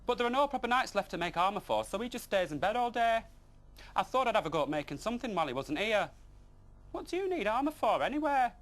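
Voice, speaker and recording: A blunt, doubting young girl from a storybook, acted out by a man. The voice is high and leaps around, brusque and always challenging. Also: big pitch swings, fast talker, fairly bright tone. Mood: brusque, sceptical.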